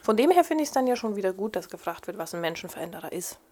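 A woman's voice: medium pitch, very animated, fast, warm tone. A middle-aged woman with a Bavarian accent, a speech therapist co-hosting a podcast, cheerful and agreeable. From Upper Bavaria, Germany. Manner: cheerful, agreeable, laughs easily. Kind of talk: casual podcast chat.

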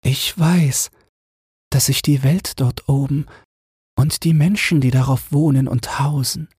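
A man's voice: mid-range voice, fairly even intonation, a little slow, darkish tone, husky, no room sound, studio quality, voice pushed up. A man voicing a young mermaid princess, a wistful and earnest girl in a fairy tale. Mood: longing. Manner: earnest, longing.

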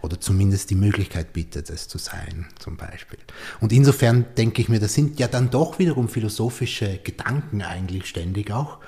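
A man's voice: lowish voice, expressive, fairly quick, dark tone. A man hosting a podcast interview, thinking aloud in a reflective way and often ending his points with a questioning tag. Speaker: podcast host.

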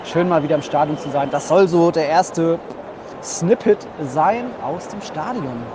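A man's voice: a little high, unhurried. A man hosting a football podcast, giving casual live impressions from the stands of a stadium. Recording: noisy stadium, loud crowd behind. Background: crowd noise and whistling.

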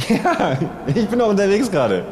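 A man's voice: high voice, very animated, normal speed, background noise, voice pushed up. A man playing a self-important God in an improvised comedy sketch, moving between solemn pronouncements and casual chattiness. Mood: casual, chatty. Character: grand, self-important God who chats like a laid-back fan.

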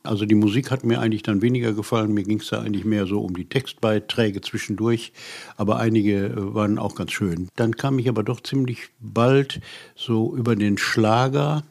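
A man in his seventies from western Germany, a musician being interviewed, recalling his past casually and haltingly, often breaking off to search for words. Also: lowish voice, fairly even intonation, vocal fry, darkish tone. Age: 70s. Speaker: interview guest, musician and writer. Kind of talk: casual personal recollection.

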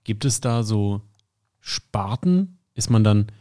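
A man hosting a sports podcast, asking questions with an upbeat, joking energy. His voice is slightly low. He tosses in quick playful asides between questions. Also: lively intonation, warm tone. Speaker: podcast host. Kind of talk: casual podcast interview.

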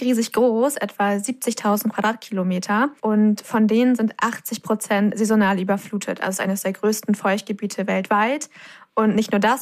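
A woman co-hosting a podcast, presenting facts in a conversational style. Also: medium pitch. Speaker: podcast co-host.

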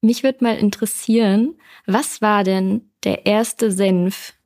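A woman's voice: mid-range voice, steady tone, slow pace, a little mellow, no room sound. Woman explaining, dry close sound. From Düsseldorf, North Rhine-Westphalia, Germany. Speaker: brand manager, podcast guest. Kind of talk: conversational explaining in an interview.

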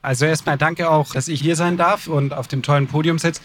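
A man, a political theorist, speaking as a guest in a live panel discussion in an animated, rallying way. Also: a little high, a little fast, slight background noise.

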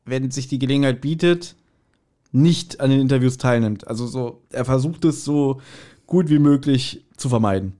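A man's voice: medium pitch, normal speed, dark tone. A man, a podcast co-host telling anecdotes in casual chat, amused and acting out short quotes.

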